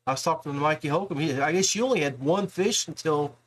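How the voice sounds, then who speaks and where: A man's voice: on the high side, fast, a bit bright. A middle-aged man hosting a podcast, talking in an informal, rambling, joshing way. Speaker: podcast host. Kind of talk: casual interview.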